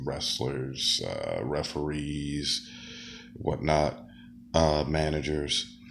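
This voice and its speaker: A man's voice: extremely low pitch, slight background noise. A man who is sick, talking in a rambling casual monologue with many fillers and restarts, sometimes coughing. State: sick, deep voice. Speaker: wrestler and comedian.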